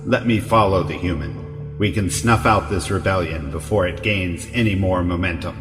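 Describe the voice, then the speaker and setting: An actor playing a ruthless henchman of a dark king in an audio drama. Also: sits low, normal speed, natural voice. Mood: urgent, ruthless. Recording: echoey reverberant hall under loud music.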